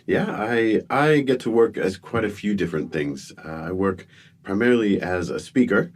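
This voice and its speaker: Low-voiced man telling wry stories. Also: animated, normal speed. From born in the United Kingdom to American parents. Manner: self-deprecating, wry storytelling with frequent restarts. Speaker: guest speaker and comedian. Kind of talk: relaxed storytelling interview.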